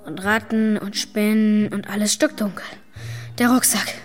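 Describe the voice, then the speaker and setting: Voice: mid-range voice, fairly even intonation, unhurried, lightly acted. An actor playing a plucky, eager young boy ghost hunter in a German children's audio drama. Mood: nervous. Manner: eager, explains ghost lore in detail. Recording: reverberant hall, music bed.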